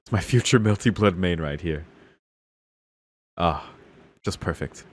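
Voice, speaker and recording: A man's voice: low voice, a little slow. A man streaming a visual novel, reading it aloud and reacting casually in an irreverent, sweary way, with guitar music behind him. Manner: irreverent, foul-mouthed. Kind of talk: casual let's-play, reading game text aloud with reactions. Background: game music with guitar.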